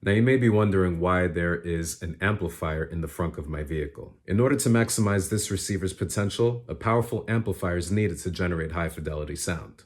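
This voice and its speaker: A man's voice: deep voice, brisk, hardly any pauses, no room sound. A man presenting a car vlog, moving between casual remarks and scripted narration. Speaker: car owner and vlogger.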